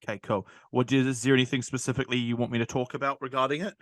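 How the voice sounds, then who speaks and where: A man's voice: mid-range voice, little pitch movement, rapid-fire, dry recording, studio quality. A man chatting casually and informally as he takes part in a podcast. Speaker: podcast participant. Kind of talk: casual chat.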